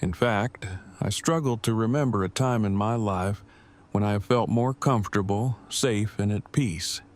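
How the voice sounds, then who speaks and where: Earnest, wordy boyfriend for romantic audio drama, male actor: lowish voice, stiffly formal and precise phrasing. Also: unhurried, natural voice. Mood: earnest, content. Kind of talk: fan audio drama acting.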